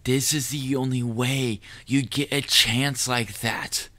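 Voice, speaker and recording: A man playing a proud, cunning, lovestruck assassin in an audio roleplay, speaking formally and deliberately to the listener with quiet menace. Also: mid-range voice, fairly even intonation. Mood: earnest, persuading. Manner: formal wording, deliberate, measured. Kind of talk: scripted audio roleplay monologue addressed to the listener.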